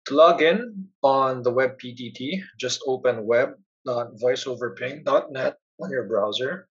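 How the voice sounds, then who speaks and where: A man giving a plain, instructional step-by-step narration as a software tutorial presenter. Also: medium pitch, measured pace, breathy edge, lo-fi sound.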